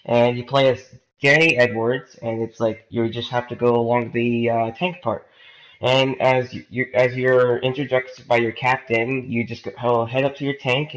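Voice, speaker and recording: A man's voice: medium pitch, rough recording. A young man with a cold, rambling through a casual gaming commentary with lots of fillers and restarts. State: has a cold. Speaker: gaming YouTuber. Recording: game sounds behind voice.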